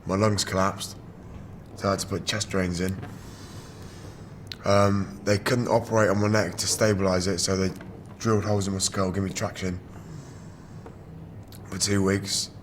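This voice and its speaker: A British man in his twenties with a rough, damaged voice, speaking candidly as a wheelchair rugby player in an interview. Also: low-pitched, level tone, moderate pace, pauses often. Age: 20s. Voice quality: damaged, rough voice from throat surgery. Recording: background noise on location.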